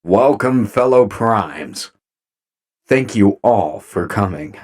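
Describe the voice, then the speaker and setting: An actor playing a calm, courteous robot commander in an audio drama, measured in manner. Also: male voice, fairly low pitch, a little hiss or hum. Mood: courteous, commanding.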